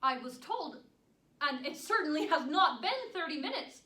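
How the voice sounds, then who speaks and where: A woman's voice: fairly high pitch, a little slow, a bit bright. A woman acting an earnest country wife, echoey recording. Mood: indignant, furious. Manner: formal old-fashioned diction, earnest. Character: devoted, proud wife of a prisoner, fiercely indignant country woman. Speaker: stage actress performing monologues. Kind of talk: theatrical period monologue. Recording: echoey room, distant mic, background noise.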